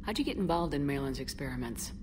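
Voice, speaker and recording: An actress playing a steady, direct and compassionate military commander in a video game, composed and straightforward. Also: female voice, very deep voice, quick pace, slight background noise. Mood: composed, curious.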